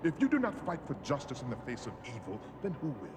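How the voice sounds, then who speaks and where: An actor playing a solemn, loyal mentor to a masked hero in an animated series, speaking gravely and formally in a reverberant space. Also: male voice, mid-range voice, quick pace, background noise. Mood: grave conviction. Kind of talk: animated action series voice acting. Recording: long reverberant hall, music behind.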